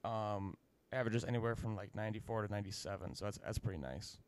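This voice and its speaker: A young man with a fairly low voice banters loosely on a casual sports podcast. He jokes around and scatters fillers through his sentences. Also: quick pace, no room sound. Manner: loose, joking, with many likes and fillers. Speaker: podcast co-host.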